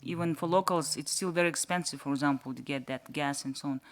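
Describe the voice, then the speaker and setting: Halting man from Yakutia, Sakha native. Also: a little high. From Yakutia, Russia. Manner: halting, searching for words. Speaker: panelist. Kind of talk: panel discussion.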